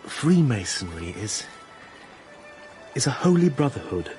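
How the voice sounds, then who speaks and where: A man with a British accent playing an earnest, idealistic gentleman in a radio drama, fervent and searching, sometimes hesitant. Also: medium pitch, very animated, unhurried, soft background music. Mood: fervent, reverent.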